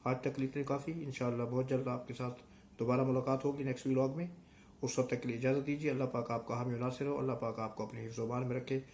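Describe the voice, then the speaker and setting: A man's voice: medium pitch, darkish tone, slight background noise. A middle-aged Pakistani man with a sore throat, giving emphatic, unscripted political commentary straight to his viewers with repetition for effect. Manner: emphatic, rhetorical questions and repetition.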